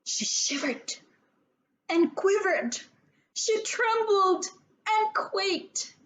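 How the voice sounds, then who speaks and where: A woman reading a children's story aloud in an expressive, theatrical way, with deliberate emphasis on key words. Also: very high-pitched, rough recording.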